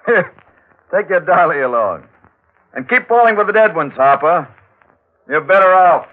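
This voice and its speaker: An actor playing a gruff, sardonic, hard-boiled newspaperman in clipped 1940s radio drama style. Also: high voice, lively intonation, normal speed, phone-quality sound. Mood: mocking. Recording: band-limited old radio, faint music behind.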